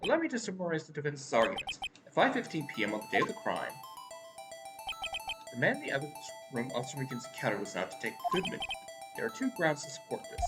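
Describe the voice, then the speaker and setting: A woman voicing a smug, formal male prosecutor in a courtroom video game, deliberate and condescending. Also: sits low, wildly swooping pitch, over loud music.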